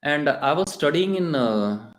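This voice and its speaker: A man with an Indian English accent lectures in a temple hall, a bit high in pitch and chatty, often pausing and restarting his sentences. The room around him rings with echo. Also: animated. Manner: conversational, with hesitations and restarts. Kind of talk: devotional lecture.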